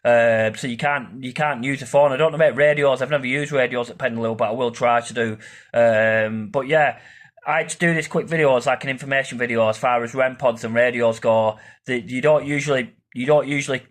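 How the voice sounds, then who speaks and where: A man's voice: medium pitch, fairly even intonation, a little fast. A man talks through an informal explainer video in the way of an amateur investigator. His delivery is rambling and hesitant, circling back over his points, with the odd casual swear word.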